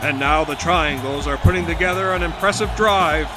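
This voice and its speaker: An actor playing an excited, florid 1920s radio football announcer calling play-by-play in a period drama. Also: male voice, a little high, normal speed, loud music behind the voice, natural voice. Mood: brisk, engaged. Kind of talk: 1920s radio sports commentary.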